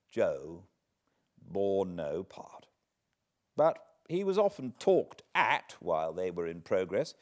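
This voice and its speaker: A British man reading a classic novel aloud as an audiobook narrator, with expressive, deliberate phrasing. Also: fairly low pitch. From Britain. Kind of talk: dramatic literary storytelling, read aloud.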